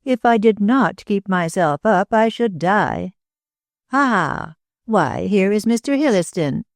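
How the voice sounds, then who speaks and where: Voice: medium pitch, expressive, slow, dry recording, studio quality, voice pushed up. A reader voicing a middle-aged society hostess who gushes and babbles with shallow enthusiasm. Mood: gushing. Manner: effusive, babbling, emphatic. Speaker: literary hostess.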